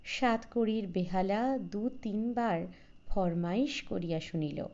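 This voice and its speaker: A woman's voice: mid-range voice, slow, a little mellow, lo-fi sound. A woman reading a literary story as a scripted audiobook narration.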